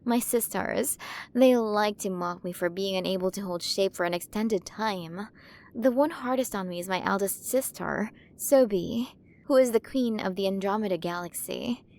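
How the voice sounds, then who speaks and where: A woman's voice: medium pitch, moderate pace, vocal fry, darkish tone, natural voice. A woman voicing a playful, curious alien queen of a galaxy in an ASMR roleplay, speaking to the listener in a fascinated, coaxing way. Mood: chatty, confiding.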